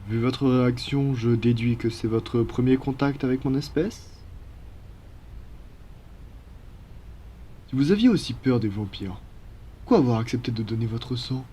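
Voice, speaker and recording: A man's voice: medium pitch, mellow, a little hiss or hum, natural voice. A man voicing a courteous, apologetic vampire in an ASMR roleplay, speaking formally and politely. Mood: gentle, apologetic. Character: courteous, apologetic male vampire from a blood-donation foundation.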